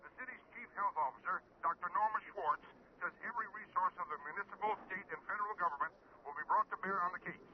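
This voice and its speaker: A man playing a fast, urgent radio newscaster. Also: high voice, close to an everyday voice. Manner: crisp, urgent news delivery. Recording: muffled, noisy old radio broadcast.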